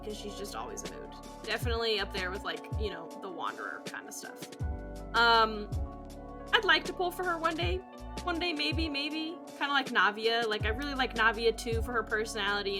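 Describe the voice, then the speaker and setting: A woman streaming a video game, giving casual, rambling commentary in an informal, musing way. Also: on the high side. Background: music.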